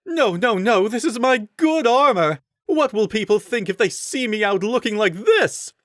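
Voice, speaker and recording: A man voicing a pompous, vain young male rider who talks in a high, haughty whine. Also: animated, moderate pace, dry recording, clean studio sound. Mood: shrieking panic. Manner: shrill, whiny and haughty.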